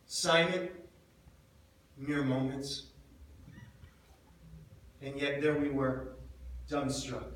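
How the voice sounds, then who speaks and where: An actor playing a grief-stricken, guilt-ridden disciple of Jesus in a theatrical monologue, speaking in a halting, emotive way. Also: male voice, on the high side, pushed and bright. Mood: mournful. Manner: halting, anguished, emotive delivery with repeated words. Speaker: actor in a church dramatic monologue. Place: large echoing church indoors. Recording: echoey church, far from the mic.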